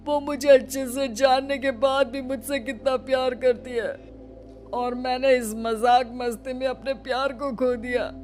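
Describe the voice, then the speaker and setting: A young cartoon husband, cheeky and slow-talking, kinda high, music under it. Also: female voice, fairly even intonation, a little mellow. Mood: worried. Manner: playful, then worried pleading. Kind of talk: cartoon dubbing. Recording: faint music behind.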